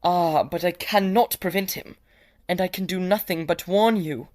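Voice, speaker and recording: A woman playing an anxious, earnest young gentleman in a period drama, pleading in formal phrases. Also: fairly low pitch, normal speed, lightly acted. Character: anxious, earnest young clergyman's son warning a friend. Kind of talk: period audio drama dialogue.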